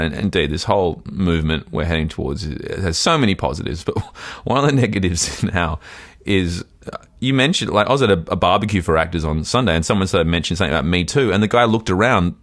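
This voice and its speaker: A man with a very low voice and a quick, tumbling delivery tells a self-mocking story on a comedy podcast. He circles back and restarts his sentences often. The sound is close and dry, with no room echo. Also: fairly bright tone. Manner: rambling, self-deprecating storyteller with many restarts. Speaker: podcast co-host. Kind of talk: casual comedic podcast chat.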